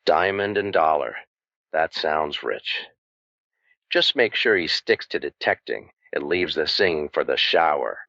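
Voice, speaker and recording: A man playing a wry, world-weary hard-boiled private detective in an old-time radio drama, with deadpan delivery. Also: a bass voice, unhurried, gravelly, no room sound, full character voice. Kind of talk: old-time radio noir narration and acting. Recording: muffled audio with music underneath.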